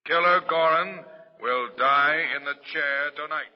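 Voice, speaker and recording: An actor playing a stern, resolute prison warden giving a formal, emphatic political speech in 1940s radio drama. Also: male voice, fairly high pitch, unhurried, close to an everyday voice. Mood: grave, resolute. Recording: dull old radio recording, echoing hall sound.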